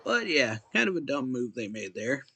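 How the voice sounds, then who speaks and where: A man giving casual, low-key game commentary in a gravelly, husky voice, speaking in halting bits. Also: big pitch swings, pushed and bright. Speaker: reaction video host.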